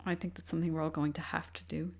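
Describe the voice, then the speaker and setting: A woman who teaches languages, interviewing a colleague in a measured, reflective way. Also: low voice, little pitch movement. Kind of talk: casual conversation.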